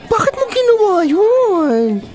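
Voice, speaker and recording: A woman's voice: extremely high pitch, very animated, slow pace, darkish tone, exaggerated voice. A young woman playing a concerned, scolding best friend in a Filipino radio drama, emphatic and exasperated. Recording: faint crowd chatter behind.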